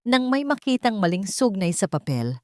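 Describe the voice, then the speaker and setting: A woman narrating a scripted love story in a measured, dramatic way. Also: mid-range voice, sing-song, dry recording, clean studio sound.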